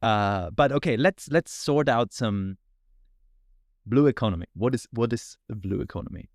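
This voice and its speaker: A man's voice: medium pitch, normal speed, no echo, clean studio sound. A man hosting a podcast interview, friendly and enthusiastic. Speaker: podcast host.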